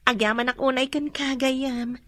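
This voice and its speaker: A woman's voice: high-pitched, fairly even intonation, normal speed, no echo, close to an everyday voice. An actress playing an earnest young female student with devoted dreams, in a radio drama. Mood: earnest, wistful.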